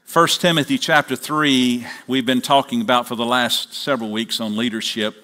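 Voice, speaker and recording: A man in his fifties with an American accent, preaching as a pastor in a deliberate, halting way with pauses. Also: mid-range voice, fairly even intonation, pushed and bright. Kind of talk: sermon with prayer and storytelling. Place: large church auditorium, on stage at a lectern.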